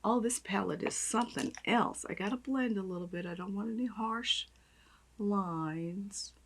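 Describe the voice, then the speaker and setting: A woman with a raspy voice, chatting and gushing as she does her makeup on camera. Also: medium pitch, measured pace. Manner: gushing, chatty, talks while applying makeup. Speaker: beauty vlogger. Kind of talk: makeup tutorial chat.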